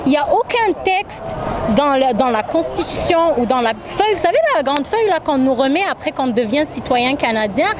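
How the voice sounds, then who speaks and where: A woman's voice: high voice, expressive, fairly quick. A middle-aged woman speaking emphatically in an impassioned street interview, piling up lists and rhetorical questions. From Algeria. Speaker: demonstrator interviewed at a march. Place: outdoors in a city street at a demonstration. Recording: noisy outdoor street crowd and traffic.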